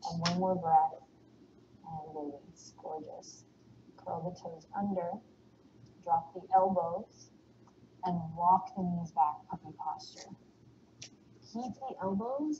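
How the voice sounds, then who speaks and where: Yoga teacher, woman, slight Texan lilt, calm and soothing, low-pitched and very mellow, on a slightly dull recording. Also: slight background noise. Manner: calm, soothing cues, breathy whispered exhales. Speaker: yoga instructor. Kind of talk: guided yoga class.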